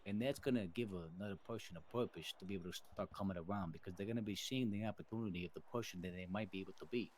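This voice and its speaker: Mafia boss for improvised game roleplay, played by a man: lower male voice, emphatic wiseguy rambling, businesslike and profane. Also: very fast, few pauses, darkish tone. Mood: persuasive, matter-of-fact. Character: smooth-talking male mafia boss planning family business.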